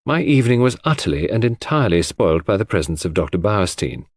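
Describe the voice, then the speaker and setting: A man narrates a literary story in the first person. His voice sits low and moves with a wide, animated range. The sound is dry and close. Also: fast talker, no echo. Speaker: audiobook narrator.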